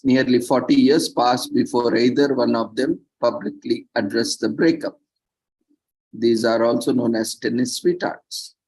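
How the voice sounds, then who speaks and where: A man presenting, reading prepared text with off-the-cuff asides, halting and stumbling over words at times. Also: medium pitch, darkish tone, low-quality recording.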